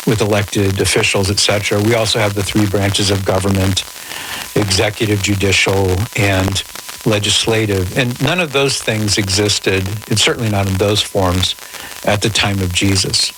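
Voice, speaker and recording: A man hosting a radio call-in show, answering in a measured, articulate, conversational way with formal wording. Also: on the low side. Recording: noisy radio broadcast with static.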